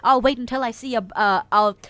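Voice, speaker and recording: A woman's voice: on the high side, steady tone, a little fast, a bit bright, dry recording. A young woman streamer chatting casually with her chat, bubbly and giggly, reading out viewer messages.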